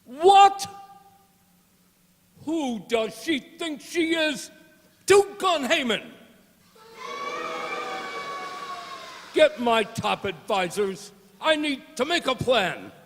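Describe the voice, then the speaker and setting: An actor playing a boastful, bossy 1920s mobster boss in a gangster radio play, full of slang. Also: male voice, very high-pitched, lively intonation, slow pace, a bit bright, full character voice. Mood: outraged. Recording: hall with long reverb.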